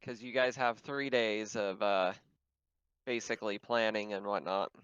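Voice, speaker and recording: A man's voice: on the low side, steady tone, unhurried, a bit bright, clean tone. Man running a tabletop game, dull audio. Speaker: game master. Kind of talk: casual tabletop roleplaying game chat.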